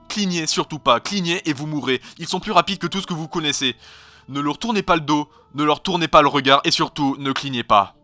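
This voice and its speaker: Guy doing a stranded time traveler, bright forceful voice, grave and earnest, fandub with music behind. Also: a little high. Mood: urgent warning. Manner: explanatory, earnest, dramatic. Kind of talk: amateur French fandub acting. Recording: home dub over background music.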